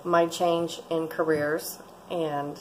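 A woman's voice: low voice, little pitch movement, slow pace, a little mellow, a little hiss or hum, low-quality recording. A woman, chatting casually and rambling through a cooking vlog in her home kitchen, recorded by a camera close by. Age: 40s. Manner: chatty, rambling, laughs often. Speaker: vlogger. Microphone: fixed camera at close range.

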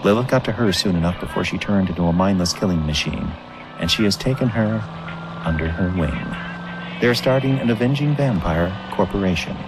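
A man's voice: sits low, animated, fairly quick, background noise. A man playing a wry, wisecracking monster-hunting reporter, narrating a radio drama in a sardonic, chatty, mock-formal way. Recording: music behind the voice.

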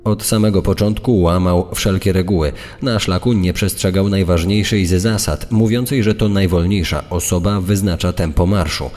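A man's voice: low voice, moderate pace, hardly any pauses, darkish tone, soft background music. A man reading scripted passages for a true-crime podcast. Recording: long hall reverb.

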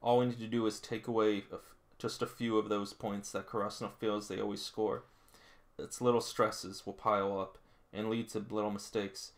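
A man reading a manga aloud with casual commentary, sometimes tripping over words and going back over them. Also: on the low side. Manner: stumbles and rereads lines, chuckles at the story. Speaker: manga read-along host. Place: small indoor room with figurines.

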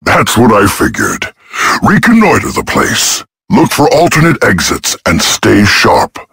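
An actor voicing a brisk, businesslike robot officer in a video game, giving matter-of-fact mission briefings. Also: male voice, lowish voice, wildly swooping pitch, creaky, fairly bright tone, husky, dry recording, heavily acted. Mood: serious, briefing.

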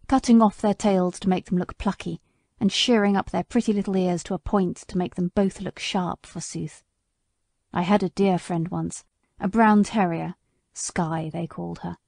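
A woman voicing a bitter, indignant old male horse in an audiobook, speaking slowly in a low, grave way. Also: creaky, darkish tone, dry recording, clean studio sound. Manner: slow, low, grave delivery. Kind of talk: audiobook character voice.

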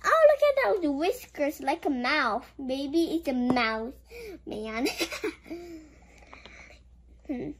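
Childlike voice, picture-book read-aloud, very slow, lively sing-song pitch, halting, exclaiming. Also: slight background noise. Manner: childlike, exclaiming with gasps and giggles. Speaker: children's show host.

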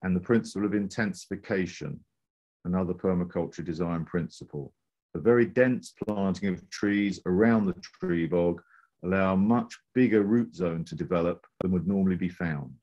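A man's voice: sits low, normal speed, a little mellow, muffled recording. A man narrating a scripted slide presentation as a presenter.

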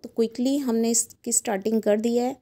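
A woman's voice: on the high side, fairly even intonation, brisk, mellow. A middle-aged woman with an Indian accent, hosting a cooking channel and chatting through a recipe in a voice-over. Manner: chatty, mixes English words into Hindi.

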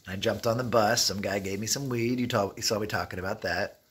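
A man in his thirties with a rough voice, telling rambling, streetwise stories casually to the camera and acting out bits of dialogue. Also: lowish voice, fast talker. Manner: rambling, streetwise, animated retelling with quoted dialogue. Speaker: travel vlogger. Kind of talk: casual storytelling vlog, talking to camera. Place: inside an open derelict wooden shack. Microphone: phone or camera at arm's length.

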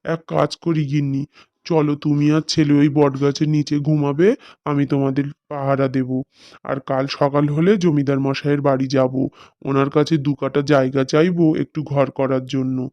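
A man's voice: fairly high pitch, little pitch movement, moderate pace, dry recording, dull audio, lightly acted. A man voicing a humble, pleading poor father.

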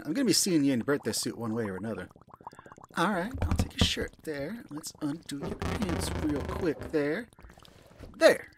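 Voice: medium pitch, a little hiss or hum. An amateur actor voicing a flirty, motherly pink balloon elephant who serves at a soda bar, with a folksy, coaxing manner in an audio play. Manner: folksy, coaxing, calls listener sweetie and dear. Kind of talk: amateur audio play, second-person roleplay monologue.

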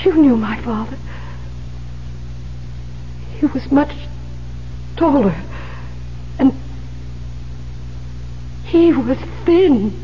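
Dull old radio drama with a faint hum, a horrified lady. She speaks slowly and dramatically in a high, distraught voice. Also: expressive, heavily acted. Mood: distressed. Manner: dramatic, halting. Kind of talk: 1970s radio drama acting.

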